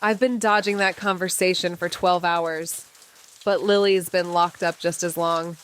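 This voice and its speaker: A woman's voice: on the low side, steady tone, unhurried, clear, resonant tone, close to an everyday voice, rough recording. An actress playing a guilt-ridden, dogged woman investigator, narrating a noir audio drama in a wry, hard-boiled way. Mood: guilty, grim. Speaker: narrating lead character.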